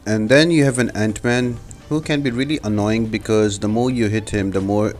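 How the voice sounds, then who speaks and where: A man's voice: fairly low pitch, steady tone, moderate pace, darkish tone, soft background music. A man giving a casual, step-by-step game walkthrough as a guide host, explaining tactics in an instructive way. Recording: dull lo-fi mic with clear noise.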